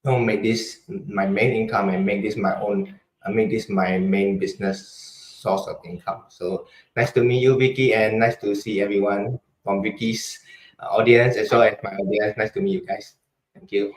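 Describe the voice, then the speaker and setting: A young man from Burma, a guest introducing himself in a friendly, polite, slightly halting way. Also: on the low side, fairly even intonation. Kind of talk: casual interview introduction. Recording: echoey room.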